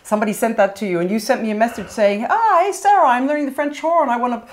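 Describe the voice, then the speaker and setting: A woman's voice: fairly high pitch, fast. A woman with a British accent hosting a live online interview over a video call, bubbly and enthusiastic, laughing often. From born in America, British mother. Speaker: show host, horn player. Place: indoors, live video hangout.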